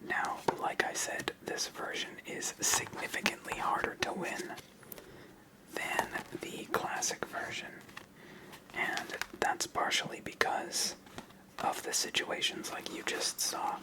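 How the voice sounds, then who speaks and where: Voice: slow pace, rough recording. A person whispering ASMR game commentary close to the microphone, explaining each step as they go. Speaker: host playing a solitaire card game.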